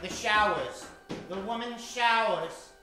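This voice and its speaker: A droll storyteller narrating a comic radio mystery, voiced by a man. He phrases things deliberately for suspense, with wry asides. The voice is bright, distant and very echoey. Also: sits high, soft background music, full character voice. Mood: dramatic. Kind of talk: dramatic narration, old-time radio with modern twist. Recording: very echoey stage, heard at a distance.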